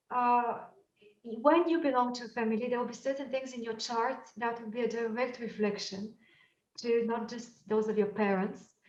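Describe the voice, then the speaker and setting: A fairly high-voiced woman with a Bulgarian accent gives an astrology lesson, thinking aloud and stopping on "uh" and "um" as she builds her points. She sounds set back from the microphone in a room with a clear echo, and the sound is slightly dull. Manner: thoughtful, searching for words with frequent fillers. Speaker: astrology teacher. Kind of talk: lecture on astrology. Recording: echoey room, mic at a distance, dull sound.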